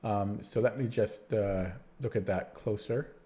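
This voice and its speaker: A man's voice: fairly low pitch, steady tone, a little slow, mellow. A man live-streaming chess puzzles, talking casually to his chat, tired and rambling with many restarts, and starting to lose his voice. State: losing his voice.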